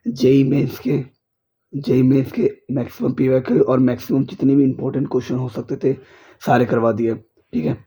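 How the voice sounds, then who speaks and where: Hoarse male teacher. Husky, gravelly, very dark tone. Exam-prep lecture pace with constant check-ins. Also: medium pitch, little pitch movement, moderate pace. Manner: repeats checks like "clear?" and "okay?". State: hoarse from a cold. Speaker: chemistry teacher. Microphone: speaker's mic is faulty.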